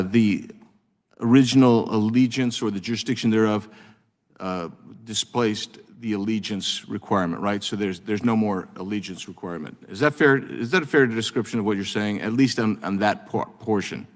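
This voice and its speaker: A congressman questioning witnesses at a committee hearing, talking in halting bursts with many restarts and fillers. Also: on the low side. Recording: long reverb of a hearing room.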